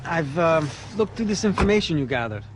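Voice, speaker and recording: A man's voice: on the high side, expressive, brisk, background noise, lightly acted. An actor playing a cynical male police detective in a video game, wry and sardonic.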